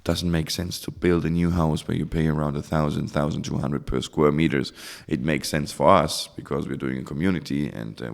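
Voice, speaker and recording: Creaky deep-voiced German man. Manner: rambling, searches for English words. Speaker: guest, community founder. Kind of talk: casual interview talk.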